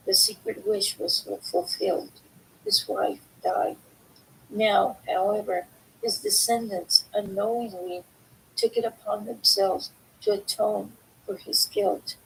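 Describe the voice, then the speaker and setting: A woman reading book excerpts aloud, with halting, deliberate phrasing. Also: gravelly, low-quality recording. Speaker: reader of a book. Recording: faint background noise, low rumble.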